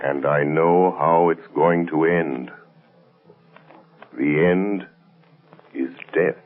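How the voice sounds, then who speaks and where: A super deep spooky avenger, taunting n ominous, draws every word out, old radio show. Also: male voice, fairly even intonation, extremely slow, heavily acted. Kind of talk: 1930s-40s radio drama acting. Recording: old dull radio recording with music.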